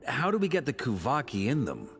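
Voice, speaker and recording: A man's voice: mid-range voice, huge pitch swings, brisk, soft background music. An actor playing a practical male adventurer in a video game, casual and a little hesitant. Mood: casual, tentative.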